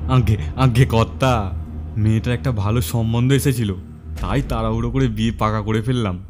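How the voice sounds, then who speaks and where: A man's voice: on the low side, steady tone, brisk, music in the background, lightly acted. A man playing a poor, anxious farmer and father in a horror cartoon, deferential and stammering. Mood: humble, nervous. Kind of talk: animated horror cartoon dialogue.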